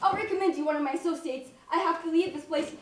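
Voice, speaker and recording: A woman's voice: very high-pitched, fast. A young woman on stage playing a melodramatic, anguished fashion professional, with an overwrought, declamatory delivery. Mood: distraught. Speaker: stage actor. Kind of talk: theatrical one-act stage play acting. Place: indoor stage, recorded from a distance. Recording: echoey stage recording, distant mic, noisy.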